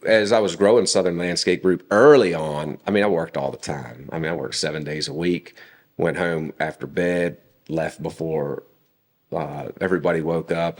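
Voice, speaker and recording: Very deep-voiced man telling stories. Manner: relaxed storytelling with frequent fillers. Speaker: podcast guest. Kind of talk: casual conversation.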